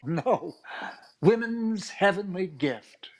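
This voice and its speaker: A man's voice: sits high, lively intonation, takes it slowly, heavily acted. A man playing a vain, breezy ladies' man with a boastful, jaunty manner in 1940s-style radio comedy.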